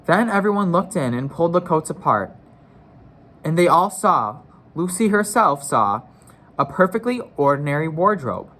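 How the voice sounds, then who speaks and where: A man's voice: on the high side, sing-song, normal speed, sharp tone. A man narrating a children's storybook as a read-aloud, careful, with the odd stumble and re-read phrase. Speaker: story reader.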